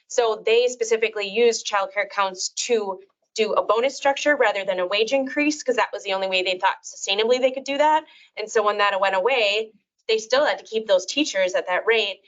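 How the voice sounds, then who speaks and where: A woman who is a parent on a panel talks in a chatty, informal way with a loud voice. Her pitch is middle-range. The recording sounds dull and lo-fi. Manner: chatty, informal, says she has a loud voice. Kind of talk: panel discussion. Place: event room with audience.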